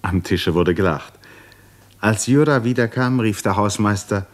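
A male narrator with a very low voice reads scripted literary prose. He tells the story of a radio play. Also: measured pace.

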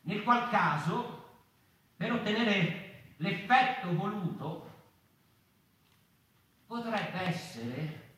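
Male stage storyteller of the mouse folk: higher-pitched, swinging widely, halting, repetitive, pondering aloud. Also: expressive, sharp tone, heavily acted. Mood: insistent, questioning. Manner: halting, repetitive, seeking agreement with tag questions. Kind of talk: theatrical monologue, literary stage narration. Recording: very echoey room, distant mic, faint noise.

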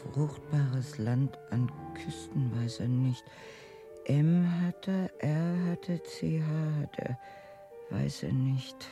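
An actress playing a weary, stubborn housewife who nurses a sick husband in a radio drama, speaking in a halting, reciting way. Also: female voice, deep voice. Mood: pedantic, stubborn. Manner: slow, halting recitation, reciting chores and puzzle words to herself. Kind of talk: German radio drama acting. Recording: studio radio play with music underneath.

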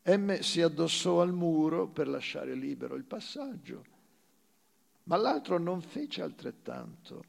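A male actor narrates literary prose in a dramatic reading style. The voice is fairly high for a man, yet husky and full of gravel. He reads the story as a stage performer would. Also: huge pitch swings, measured pace.

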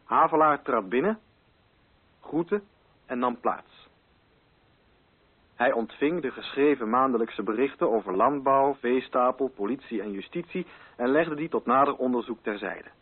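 Husky male radio narrator. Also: mid-range voice, a little slow, pauses often, narrow, tinny audio. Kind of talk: scripted literary narration. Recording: band-limited radio recording.

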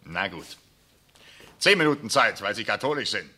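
Nasty mocking soldier, husky and hard-edged, old-school radio drama. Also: male voice, lowish voice, big pitch swings, normal speed, sharp tone, heavily acted. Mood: mocking, menacing. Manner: mocking, sardonic.

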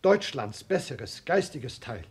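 Husky, pompous intellectual. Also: male voice, mid-range voice, big pitch swings, slow, heavily acted. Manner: pompous, learned. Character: earnest, pompous intellectual debating at a soirée. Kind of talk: 1960s German radio drama acting.

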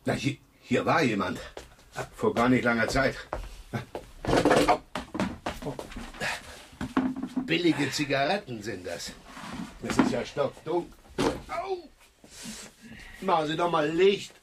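A man's voice: medium pitch, wildly swooping pitch, a little slow, pauses often, very noisy. An actor playing a grumbling, wry and brusque male police inspector in a 1970s radio crime drama. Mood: alert.

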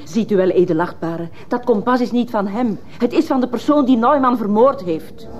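Woman acting a bitter middle-aged mother, highish voice, reproachful, insistent, muffled radio play. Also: moderate pace, darkish tone, lightly acted. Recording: muffled old radio play, faint noise.

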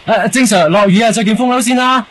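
A man's voice: high voice, quick pace. A man with a Hong Kong accent playing an eager, chatty young junior detective in a radio drama. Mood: eager, concerned.

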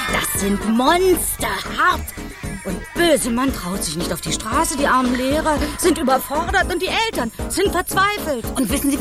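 A woman acting an indignant, scandalized townswoman who complains about children in a German radio play. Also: high voice. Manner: indignant, exclaiming.

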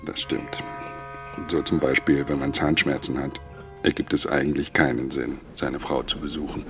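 An actor playing a nervous, stubborn railway engineer in his forties in a German radio play, halting and self-justifying, with music under him. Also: rumbling low, flat delivery. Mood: defensive, halting. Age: 40s. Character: nervous, stubborn forty-year-old railway engineer, male.

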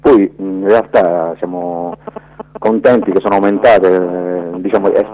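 Male interviewee, very low voice, thoughtful and halting delivery, muffled lo-fi radio sound. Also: steady tone. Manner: hesitant, halting, reflective, searching for words. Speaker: documentary filmmaker, interview guest. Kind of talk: spontaneous radio interview answers. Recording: dull lo-fi radio interview audio.

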